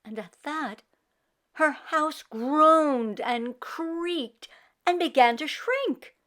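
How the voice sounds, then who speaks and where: A woman narrating a children's storybook expressively, with deliberate dramatic emphasis. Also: high voice, a bit bright. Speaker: read-aloud storyteller for children.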